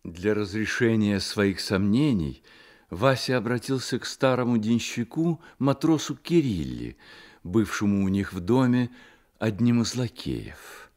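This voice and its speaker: Low-voiced male narrator, widely varied intonation. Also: unhurried. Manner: expressive storytelling. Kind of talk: scripted literary narration for radio drama.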